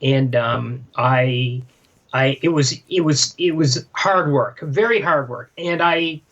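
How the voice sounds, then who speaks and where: A man chatting casually as a podcast co-host, telling an anecdote in a halting way, often repeating phrases and quoting himself. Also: mid-range voice, a bit bright, muffled recording. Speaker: podcast co-host and manga translator.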